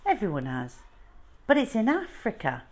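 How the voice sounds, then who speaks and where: A woman's voice: medium pitch, huge pitch swings, normal speed, a little mellow, pitched above the performer's own voice. Woman reading as an earnest, wistful runaway schoolboy. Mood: wistful, determined. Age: child. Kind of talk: storybook character reading.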